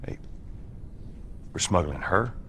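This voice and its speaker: An actor playing a gruff, sardonic, middle-aged smuggler in a video game, speaking tersely. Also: male voice, low voice, lively intonation, slow pace, a bit bright, in a large hall, slight background noise, heavily acted. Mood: skeptical.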